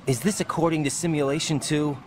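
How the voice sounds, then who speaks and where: A man's voice: on the high side, fairly even intonation, brisk, a little hiss or hum, natural voice. An actor playing a young male soldier, anxious and questioning.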